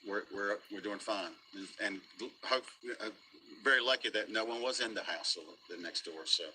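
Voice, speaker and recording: A middle-aged American man giving a casual eyewitness interview outdoors, speaking haltingly and repeating words. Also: on the low side, pushed and bright, rough recording. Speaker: local resident and business owner being interviewed. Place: outdoors at a storm damage site. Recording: outdoor interview with faint noise.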